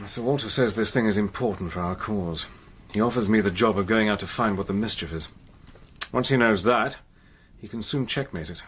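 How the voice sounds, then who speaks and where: An actor playing a steady army major in a radio drama, measured and earnest, also narrating. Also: male voice, on the low side, slight background noise, close to an everyday voice. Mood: resolute.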